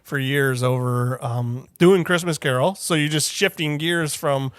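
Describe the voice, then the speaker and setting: A man's voice: fairly high pitch, unhurried, a bit bright, clear, resonant tone, dry recording, clean studio sound. A man co-hosting a podcast in casual banter, joking and teasing his guests. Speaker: podcast co-host.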